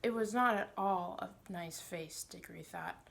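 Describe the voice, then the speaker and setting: A woman's voice: fairly low pitch, unhurried, vocal fry. A woman narrating a children's novel, reading it aloud as a storybook reader.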